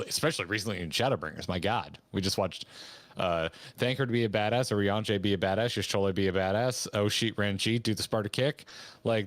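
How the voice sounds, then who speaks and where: A man's voice: lowish voice, no echo. A man co-hosting a gaming stream, giving casual, conversational reaction commentary with enthusiasm for small details.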